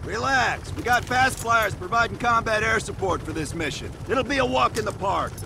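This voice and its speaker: A man's voice: high-pitched, lively intonation, moderate pace, heavy background noise. An actor playing a cool male CIA team leader with a dry, clipped, sardonic way of talking. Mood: wry. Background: film score.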